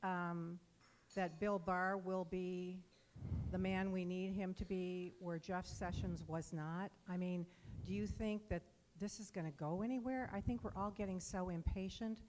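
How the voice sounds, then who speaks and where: A woman with a slightly low voice puts an informal question from the audience at a live event. There is some background noise and clicking on the recording. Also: steady tone, unhurried, darkish tone. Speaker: audience member asking a question.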